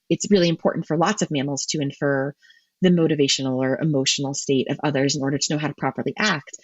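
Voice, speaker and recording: Woman guest, husky voice, explains science thoughtfully with many restarts, dull muffled audio. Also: low voice, dry recording. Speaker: guest marine ecologist. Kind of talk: podcast interview answers.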